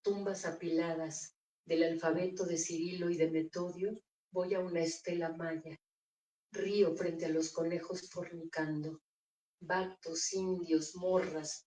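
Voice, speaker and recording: A woman reading her own poems aloud in a measured, deliberate recital at a bookstore. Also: fairly low pitch, flat delivery, warm tone, distant mic. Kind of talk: poetry reading. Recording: echoey room, voice off-mic.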